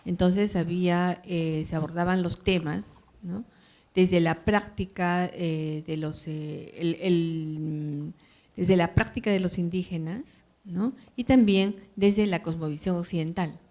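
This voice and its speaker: Soft-toned woman on a panel. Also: fairly low pitch, fairly even intonation, takes it slowly. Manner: hesitant, many fillers and tag questions. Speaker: panelist, university program organizer. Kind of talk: panel discussion answer.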